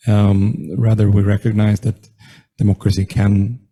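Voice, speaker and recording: A man moderating a panel event, giving formal opening remarks in a halting way, full of fillers and restarts. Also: on the low side, monotone, mellow. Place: event room with audience.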